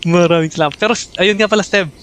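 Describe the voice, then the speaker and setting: A man's voice: high-pitched, racing, a bit bright, clear, resonant tone. A man with a Filipino accent, a native Tagalog speaker, giving casual, chatty livestream commentary on a game. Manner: chatty, switches between Tagalog and English. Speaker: game streamer. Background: game music.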